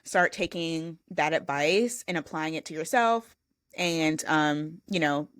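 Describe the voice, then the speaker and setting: A young woman co-hosting a casual podcast, speaking in a slightly low register. She talks frankly and assertively, sprinkling in "like." The sound is dry and close, with no room echo. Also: slow, fairly bright tone. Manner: frank, assertive, many "like" fillers. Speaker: podcast co-host. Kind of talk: casual chat, advice-giving.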